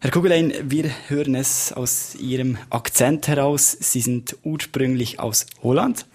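A man's voice: medium pitch, measured pace, a little mellow. A man hosting a radio interview, asking questions steadily and giving brief affirmations. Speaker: radio host.

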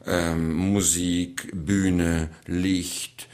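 Deep-voiced man with a Dutch accent. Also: flat delivery, very slow, a little mellow, clean tone. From Netherlands. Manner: hesitant, many fillers, searching for words. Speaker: choreographer. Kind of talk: radio interview answers.

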